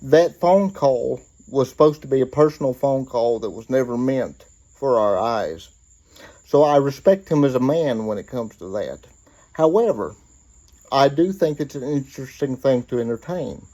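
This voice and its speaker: An American man giving political commentary in a measured, earnest way, stating his own opinions. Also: mid-range voice, lively intonation, warm tone, rough recording.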